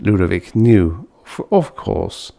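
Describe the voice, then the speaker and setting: A man reads a story aloud as an audiobook narrator. His voice is fairly low, with lively, wide-ranging intonation that keeps the scripted prose expressive. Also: slow, creaky.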